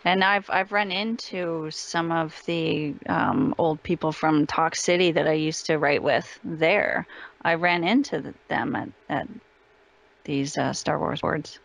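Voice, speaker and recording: Creaky-voiced woman, casual interview guest. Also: low voice, a little slow.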